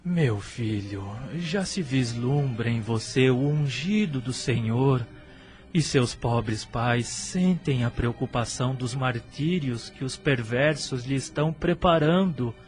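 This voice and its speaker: Man acting slow, solemn, worried father. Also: mid-range voice, expressive, soft background music. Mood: tender, worried. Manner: solemn, measured, formal. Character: gentle, worried, devout father of teenage son. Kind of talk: religious radio drama acting.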